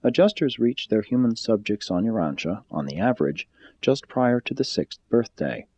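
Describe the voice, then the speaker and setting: Low-voiced man reading formally, mellow tone. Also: expressive, normal speed, breathy edge. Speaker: audiobook-style reader. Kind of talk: formal scripted reading of a spiritual text. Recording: slightly dull, muffled sound.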